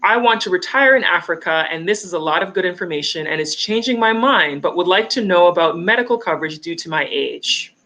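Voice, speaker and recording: A woman's voice: lowish voice, lively intonation, brisk, few pauses, sharp tone, rough recording. A woman with a Canadian accent, hosting an interview and reading out viewer questions in a chatty, easy way. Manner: chatty, reads viewer questions, laughs easily. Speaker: host. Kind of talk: live-stream interview.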